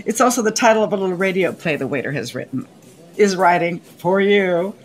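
A woman's voice: on the low side, animated, a little fast, lightly acted. Actress as a prickly, sardonic older woman. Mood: mocking, exasperated. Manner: dry, curt, sarcastic. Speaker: actress playing a diner. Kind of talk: radio play comedy acting.

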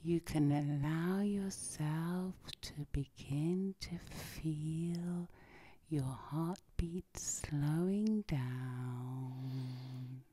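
A woman hypnotherapist leads a sleep session in a low, breathy half-whisper. Her delivery is slow and lulling, in a soft ASMR style meant to soothe. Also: no echo. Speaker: hypnotherapist, channel host.

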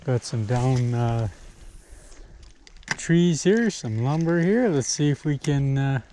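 A young man narrating a fishing vlog from a small boat on a lake, chatty and relaxed, thinking aloud with fillers. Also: medium pitch, takes it slowly. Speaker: fishing vlogger. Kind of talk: casual outdoor vlog narration. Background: outboard motor and water noise.

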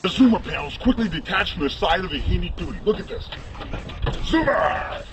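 A middle-aged man giving an infomercial sales pitch as an inventor, excitable and bellowing, pushing hard for the sale. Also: brisk. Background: music.

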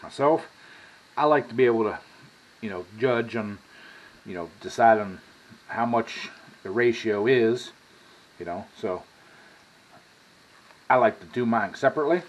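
An American man in his sixties with a folksy manner, casually talking through a cooking demonstration as a home cook. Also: on the low side. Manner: folksy, drawn-out asides with y'all. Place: home kitchen, indoors at the stove. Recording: clearly noisy home kitchen recording.

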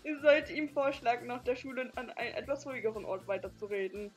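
Young female let's-play host, somewhat high voice, giggly, light music underneath. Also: fairly even intonation, quick pace. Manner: giggly, reads lines playfully. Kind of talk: reading visual novel dialogue aloud with joking asides.

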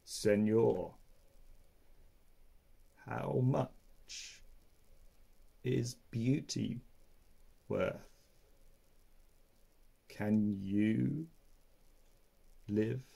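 A reader doing a deep lazy slow sloth. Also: creaky, warm tone, husky, heavily acted. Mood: languid. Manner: drawn out, word by word.

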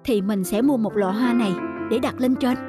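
A man's voice: very high-pitched, moderate pace. A young cartoon husband. He is stubborn and persuasive, speaking in an everyday conversational voice. Music plays behind him. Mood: reflective. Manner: insistent, persuasive. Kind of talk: animated cartoon voice acting.

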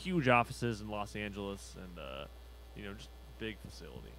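Animated man bantering over faint background noise. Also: on the low side, normal speed, low-quality recording. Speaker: co-host of a gaming livestream. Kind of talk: casual joking banter. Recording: faint hum and noise behind.

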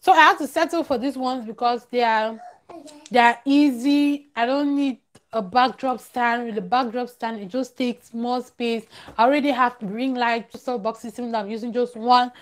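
A young woman chatting casually on a live stream, emphatic and repeating phrases for stress. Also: fairly high pitch. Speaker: vlogger, live-stream host. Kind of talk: casual live-stream chat, advice to viewers. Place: small room at home, close to a plain wall. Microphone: clip-on lavalier at the collar.

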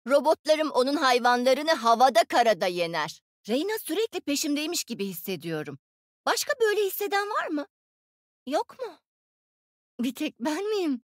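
A bright-minded, smug young woman inventor from a video game. Her voice sits high and jumps about with lively, teasing expression. The sound is dry, with no room to it. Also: no room sound. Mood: animated. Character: cocky young female tech genius, playful and smug.

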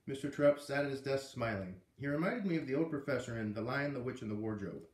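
A man's voice: medium pitch, lively intonation, fast talker. A man in his thirties reading a storybook aloud casually in a living room, recorded by a camera a few feet away. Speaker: read-aloud host. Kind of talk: casual storybook read-aloud with chatty asides. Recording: roomy, echoey home recording.

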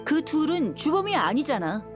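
A young desert mercenary woman from a video game, speaks in a high, swooping voice over music. She is shrewd and self-assured, with a cool, teasing edge. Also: very animated, normal speed. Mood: confident explaining. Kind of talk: video game voice acting, story dialogue. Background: background music.

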